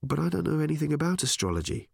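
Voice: mid-range voice, very animated, racing, creaky, husky, no echo, clean studio sound, pitched above the performer's own voice, full character voice. A storyteller voicing a flustered professional woman reporter who tries to stay composed while asking incredulous questions. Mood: incredulous, probing.

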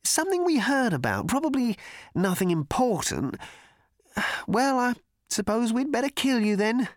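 A man's voice: very high voice, very animated, measured pace, no echo, clean studio sound, voice pushed up, heavily acted. A man reading aloud, voicing a thin, anxious young male cricketer who speaks hesitantly and trails off. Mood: uneasy.

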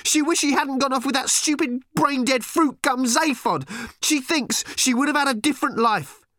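A man voicing a furious, hurt teenage girl who shouts and nearly cries. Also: normal speed, husky, no room sound, studio quality, lightly acted. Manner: shrill, shouting, near tears.

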